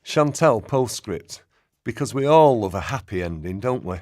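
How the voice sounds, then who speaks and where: A man playing an earnest narrator, giving measured, formal documentary-style narration in a radio play. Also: lowish voice, animated, darkish tone, no room sound, studio quality, close to an everyday voice.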